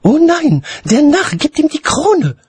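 A man's voice: very high voice, wildly swooping pitch, a little slow, breathy edge, dry recording, pitched above the performer's own voice, heavily acted. A man voicing a spirited, self-assured upper-class lady in an audiobook story, with emphatic well-bred speech. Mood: earnest, insistent.

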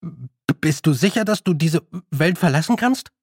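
Audiobook role: man playing a young boy, lordly yet unsure, stammering, raised pitch, husky edge, dry sound. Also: expressive, normal speed, darkish tone, no echo. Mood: haughty, wary. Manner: hesitant, stammering, slightly haughty. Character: young boy, lordly but naive and nervous. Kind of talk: audiobook character voice.

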